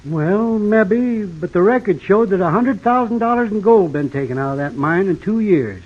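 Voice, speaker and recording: An old rural Western American homesteader for a radio western. His voice sits rather high, and his talk is plain, drawling and folksy. The recording is dull and vintage. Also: lively intonation, normal speed. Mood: rueful. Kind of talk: old-time western radio drama acting.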